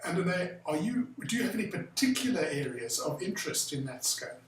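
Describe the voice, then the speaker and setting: A man's voice: sits high, big pitch swings, a little fast, a little hiss or hum. Man in a very echoey room. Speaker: interviewer, head of the firm. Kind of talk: formal interview questions. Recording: very echoey room, far from the mic.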